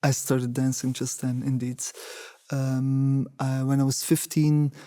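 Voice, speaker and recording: A man in his forties whose first language is Dutch, answering interview questions in a thoughtful way, often pausing and restarting. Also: medium pitch, little pitch movement, dark tone, no room sound, clean studio sound. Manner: thoughtful, many hesitations and restarts. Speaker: interviewee, choreographer.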